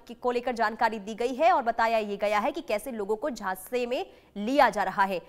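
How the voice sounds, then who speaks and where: High-pitched woman news anchor, urgent. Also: fairly bright tone. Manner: urgent, cautioning, repetitive. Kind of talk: live breaking-news reporting, ad-libbed.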